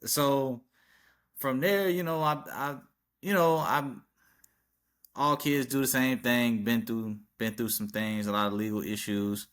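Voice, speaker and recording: A man from Arkansas talking as a podcast guest, relaxed and informal, with a habit of saying "yes, sir" and chuckling between stories. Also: mid-range voice, a little slow, clear, resonant tone. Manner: laid-back street talk, repeats "yes, sir", chuckles often. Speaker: podcast guest, tattoo artist and rapper. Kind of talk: casual interview chat.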